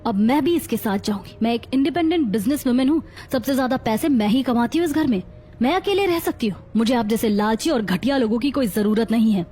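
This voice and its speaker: A woman playing a proud, protective elder sister-in-law who scolds emphatically in a melodramatic animated family story. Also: on the high side, brisk, slightly rough. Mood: scornful.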